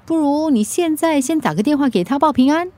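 An actress playing a caring, level-headed friend in a radio drama. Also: female voice, high-pitched, lively intonation, a little fast, a little mellow. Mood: concerned, reassuring. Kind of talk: Mandarin radio drama acting.

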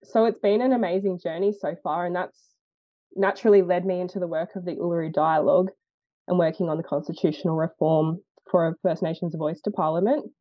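Young Australian woman, guest, low-pitched and earnest, reflective pauses, dull dry lo-fi recording. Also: fairly even intonation, dry recording. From New South Wales, Australia. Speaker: lawyer and guest. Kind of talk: interview, personal story.

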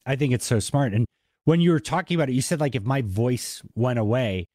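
A man's voice: medium pitch, brisk, darkish tone, no room sound, studio quality. A man with a slight Boston accent hosting a podcast in an enthusiastic, casual, conversational way. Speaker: podcast host. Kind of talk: casual interview chat.

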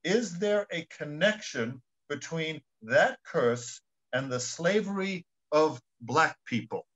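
A man's voice: fairly high pitch, expressive, slow pace, a bit bright. A man from South Africa co-hosting a Bible discussion, speaking conversationally and emphatically, repeating words as he goes, on dull lo-fi audio. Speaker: school principal co-hosting a Bible study.

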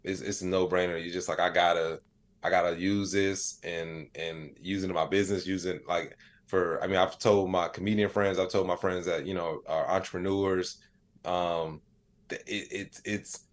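A man in his late thirties with a fairly low-pitched voice. He talks as an interview guest in a loose, colloquial way, keeping explanations plain-spoken and conversational, sometimes voicing bits of imagined dialogue. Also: flat delivery, normal speed. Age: late 30s. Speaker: interview guest, AI educator and comedian. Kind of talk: casual conversational interview answers.

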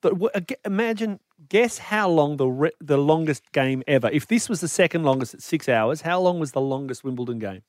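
A man's voice: on the high side, animated, brisk, hardly any pauses, no room sound. A man co-hosting a breakfast radio show, running a playful quiz with teasing banter. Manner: upbeat, teasing, laughs often. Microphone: dry studio mic.

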